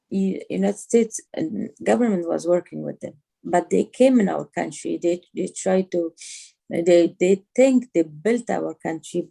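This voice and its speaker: A middle-aged woman with an Afghan accent, speaking emphatically in halting English as a guest on a video call interview. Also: on the low side, creaky, mellow. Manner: emphatic, repeats phrases in halting non-native English. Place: small home room, indoors. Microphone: video call on a phone or laptop.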